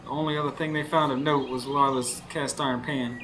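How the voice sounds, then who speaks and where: A man's voice: medium pitch, steady tone, a little fast, a bit bright, natural voice, rough recording. An actor playing an earnest, weary young stable hand who narrates his own story in a radio drama. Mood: reflective. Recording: live stage recording, faint background noise.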